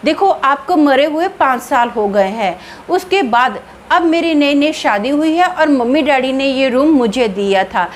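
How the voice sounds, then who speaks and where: A woman's voice: sits high, lively intonation, a little slow, few pauses, a little hiss or hum. A woman voicing a young husband who earnestly pleads with a ghost. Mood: pleading.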